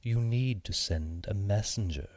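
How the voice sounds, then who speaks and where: Man as a low-voiced, crafty storybook cat. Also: a little mellow, dry recording, studio quality. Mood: insistent. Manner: polite, measured, insistent.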